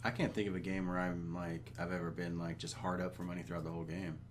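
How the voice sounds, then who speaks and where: A man's voice: sits low, level tone, fast talker, slight background noise. A man chatting casually about games as a podcast co-host, offhand and profane. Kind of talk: casual gaming chat.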